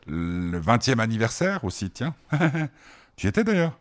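A man in his sixties hosting a casual interview, teasing his guest and pausing often with fillers. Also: fairly low pitch, sing-song. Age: 60s. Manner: teasing, joking, hesitant with many fillers. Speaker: host.

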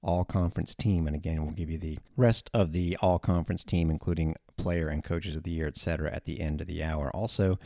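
A man's voice: very deep voice, fairly even intonation, a little fast, vocal fry, hardly any pauses, mellow, dry recording. A man hosting a college sports radio show, talking casually with informal asides. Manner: chatty, informal, rambling asides.

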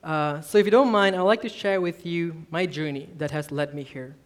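A man's voice: on the high side, normal speed. A man in his twenties from Malaysia, a youth minister preaching a personal testimony sermon in an earnest, self-deprecating way. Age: 20s.

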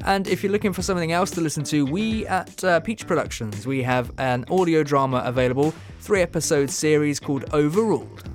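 A man's voice: a little high, lively intonation, a little fast, few pauses, over music. A man hosting a podcast, casually giving thanks, plugs and sign-offs. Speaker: podcast host.

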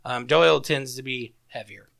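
A man with a bright, forceful voice and a gravelly edge co-hosts a music podcast. He talks casually, swearing and bickering in an exasperated way. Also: medium pitch, steady tone, normal speed. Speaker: podcast co-host.

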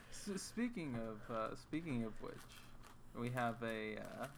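A man's voice: medium pitch, big pitch swings, takes it slowly, a little hiss or hum. A young adult man co-hosting a podcast, joking in casual chat and often restarting his words. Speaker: podcast co-host.

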